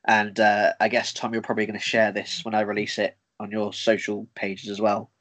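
A man's voice: on the low side, monotone, brisk, a bit bright. A young man with a Northern English accent hosting a podcast, upbeat and friendly, laughing easily as he chats. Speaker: podcast host. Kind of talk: casual conversation.

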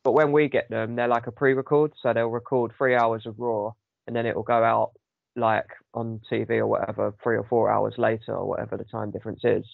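A man's voice: on the low side, little pitch movement, fairly quick, vocal fry, dry recording, narrow, tinny audio. A man hosting a casual chat podcast with a sore throat, rambling with many ums. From United Kingdom. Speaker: podcast host.

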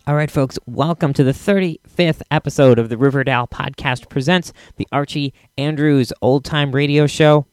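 Dry-sounding male podcast host, slightly high voice. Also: fairly even intonation, moderate pace, few pauses, darkish tone, clear, resonant tone, dry recording, faint music behind. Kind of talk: casual podcast introduction.

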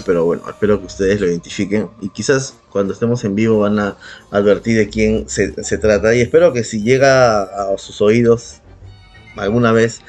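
A man's voice: fairly low pitch, a little mellow. Peruvian man ranting casually over music. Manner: argumentative, sarcastic, swears casually. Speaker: podcast co-host. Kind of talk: casual opinionated podcast talk. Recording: background music under the voice.

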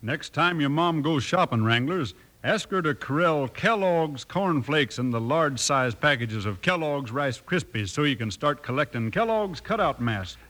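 American male announcer, slightly high voice, scripted cowboy-show patter, vintage 1950s radio style. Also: animated, normal speed, fairly bright tone.

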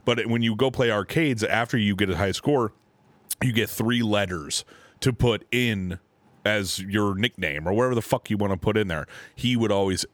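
A man's voice: on the low side, normal speed, dry recording. A man in his thirties co-hosting a podcast, talking in casual, profane banter and laughing often. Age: 30s. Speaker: podcast co-host.

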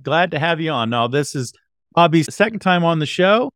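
A man's voice: a little high, lively intonation, moderate pace, a bit bright, dry recording, studio quality. A man hosting a podcast, introducing and interviewing in a casual conversational style. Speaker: podcast host.

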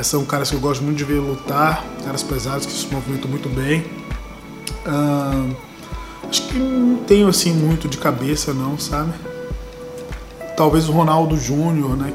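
Laid-back Brazilian man answering viewer questions. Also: a little high. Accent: light Bahian Brazilian Portuguese, mostly faded. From Salvador, Brazil. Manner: relaxed, joking, laughs at questions. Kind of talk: casual Q&A chat. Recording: music playing behind the voice.

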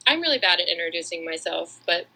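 A woman co-hosts a podcast in loose, casual conversation. Her voice is very bright and forward, sitting slightly lower than most women's. She is chatty and slightly self-deprecating in how she talks. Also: big pitch swings, quick pace. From Atlanta, United States. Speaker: podcast co-host. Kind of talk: casual chat.

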